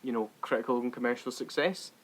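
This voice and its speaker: A man co-hosting a podcast in relaxed, informal conversation. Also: medium pitch, fast talker, poor audio. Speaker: podcast co-host. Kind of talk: casual podcast chat. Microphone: remote online call.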